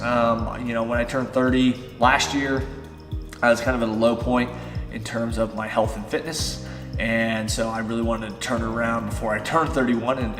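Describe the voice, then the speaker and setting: A man's voice: mid-range voice, fairly bright tone, echoey room, in a large hall. A man in his thirties, a fitness vlogger, answering viewer-style questions in a loose, chatty way with many fillers. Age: 30s. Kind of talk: casual Q and A vlog. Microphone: on-camera shotgun mic.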